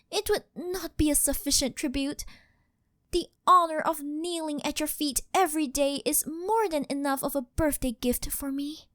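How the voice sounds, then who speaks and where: A woman's voice: very high-pitched, no room sound, studio quality. A woman playing a devoted yandere doll maid who worships her master, speaking with reverent, formal servility in an ASMR roleplay. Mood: humble, grateful.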